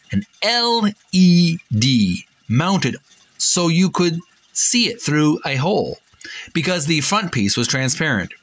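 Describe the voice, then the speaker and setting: A man hosting a video game channel, speaking casually or reading aloud in a halting, deliberate, phrase-by-phrase way. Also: mid-range voice, a bit bright. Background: background music.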